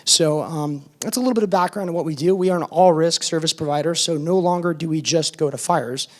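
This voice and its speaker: A hoarse man presents to a forum audience, his voice worn from weeks of teaching. He sits a little high for a man and talks in a loose, informal way, full of ums and uhs. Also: fairly quick. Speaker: fire department battalion chief presenting at a forum.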